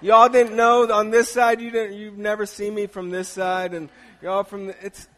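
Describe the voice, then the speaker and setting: High-voiced man preaching casually. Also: level tone, fairly bright tone. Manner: conversational, halting with restarts, chuckling asides. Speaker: pastor. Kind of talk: informal sermon to a congregation. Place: church sanctuary.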